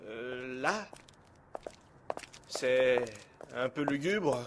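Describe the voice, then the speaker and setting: A man's voice: medium pitch, expressive, drawn-out words, noisy background. A man playing an anxious, cornered employee in an audio drama, hesitant and easily flustered. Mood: wary, hesitant. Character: anxious, cornered male employee being blackmailed. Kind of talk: amateur audio drama acting.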